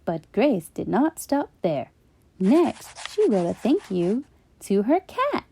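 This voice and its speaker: A woman reading a children's bedtime story in an expressive, sing-song storytelling manner. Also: mid-range voice, slow pace, gravelly, slightly rough.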